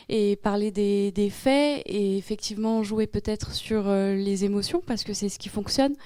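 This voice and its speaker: A woman's voice: medium pitch, dark tone, smooth, dry recording. A young French woman speaking in a classroom debate, hesitant with many fillers. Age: young adult. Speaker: student in a debate.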